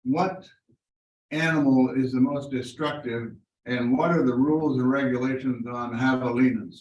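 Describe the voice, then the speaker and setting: A man's voice: medium pitch, steady tone, moderate pace, darkish tone. A man in the audience asking a question in a chatty way. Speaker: audience member. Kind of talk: question from the floor. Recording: distant, dull, echoey room.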